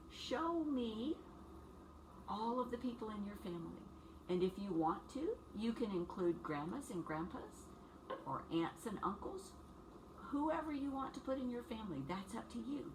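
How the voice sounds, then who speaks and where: Lady teacher doing story time for small kids, medium pitch, simple encouraging talk, noisy and off mic. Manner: simple, encouraging phrasing with questions addressed to children. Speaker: young children's teacher reading a picture book aloud. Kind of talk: read-aloud story time and instructions to small children. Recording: distant mic with clear background noise.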